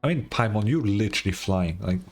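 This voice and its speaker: A young woman streamer with a very deep, husky voice reacts casually to a game. She murmurs laid-back, sweary asides, with faint game music behind her. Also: expressive, moderate pace, darkish tone. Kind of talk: casual reaction commentary over gameplay.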